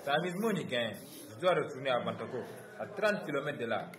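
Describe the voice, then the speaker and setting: A brash young male gold miner, played by an actor in a radio drama, in an echoing room. His pitch moves a lot, and his talk is slangy, proud and animated. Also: fast, a crowd in the background, close to an everyday voice. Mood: hurried, matter-of-fact.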